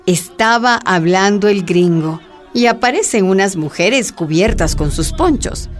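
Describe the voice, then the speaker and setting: A woman's voice: mid-range voice, lively intonation, a little slow, faint music behind. A woman giving dramatic scripted narration for a radio drama.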